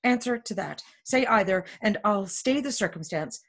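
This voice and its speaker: A woman's voice: mid-range voice, expressive, normal speed, slightly rough. A woman reading an impatient, lovesick young heroine in a Shakespeare play, with dramatic, insistent pleading. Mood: exasperated pleading.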